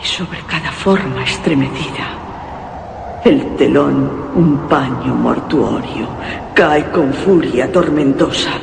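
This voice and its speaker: An actor playing a solemn reciter of macabre verse in a radio horror drama, grave and declamatory. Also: male voice, medium pitch, vocal fry. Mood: grim, ominous. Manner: grave, declamatory, measured phrasing. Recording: noisy radio recording with long reverb.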